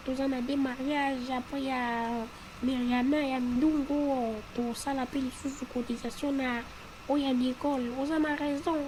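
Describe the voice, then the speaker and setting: A woman's voice: high voice, a little mellow, lightly acted. A woman voicing an earnest, insistent cartoon character in conversational dialogue, often repeating herself. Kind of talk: conversational cartoon dialogue in Lingala mixed with French. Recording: noisy background hum.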